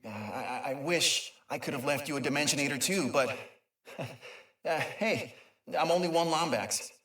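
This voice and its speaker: Game role, male actor: excitable, nerdy, kind creature inventor, stammering through informal logs; lightly high male voice, echoey and a bit off-mic. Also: moderate pace, far from the mic, lightly acted. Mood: sad, hopeful. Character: eager, nerdy, kind male Lombax inventor and dimension mapper. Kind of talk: informal recorded audio log in a video game.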